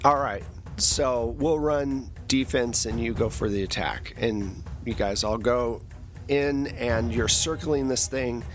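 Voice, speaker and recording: A man narrating a tabletop game as game master, improvising in a halting way as he thinks aloud. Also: medium pitch, faint music behind.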